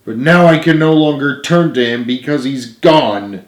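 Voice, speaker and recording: A man's voice: medium pitch, measured pace, voice pushed up, lightly acted. A man reading as a heartbroken big strongman, echoey. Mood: hopeless. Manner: hopeless, emotional. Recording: roomy, echoing room sound.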